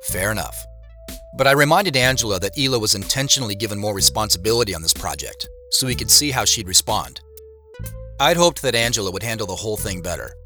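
An actor playing a measured, wry tech company boss who narrates an audio drama in the first person. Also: male voice, fairly low pitch, lively intonation, pushed and bright, soft background music. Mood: wry, reflective. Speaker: narrator and lead character.

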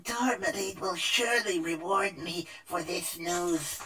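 An actress playing a scheming winged spy in a fairytale audio drama, gasping and halting with eagerness. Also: female voice, lowish voice, close to an everyday voice. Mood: excited, gloating. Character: scheming, breathless winged spy serving a great lady.

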